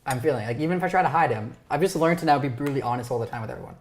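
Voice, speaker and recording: A woman whose first language is Romanian, hosting a casual chat; she talks fast and stumbles over her words. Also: a bass voice. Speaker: podcast host. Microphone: lapel.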